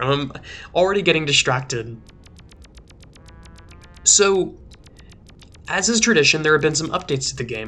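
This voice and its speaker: A man's voice: on the high side, sharp tone. Young guy, rambling, scratchy throat. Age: young adult. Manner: rambling, filler-heavy, self-interrupting. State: recovering from a sore throat. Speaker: let's play host. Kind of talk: casual gameplay commentary. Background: faint game music.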